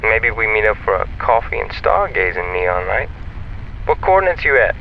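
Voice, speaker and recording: A man's voice: lowish voice, very animated, moderate pace, gravelly, narrow, tinny audio, slight background noise. An actor playing a folksy, flirtatious spaceman on a radio channel in a sci-fi audio drama, easygoing and teasing.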